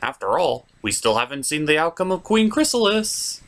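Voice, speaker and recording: A man's voice: fairly high pitch, sing-song, normal speed, a bit bright. Sarcastic man with wildly swinging intonation. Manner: sarcastic, theatrical. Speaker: reviewer host. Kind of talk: scripted comedic review narration.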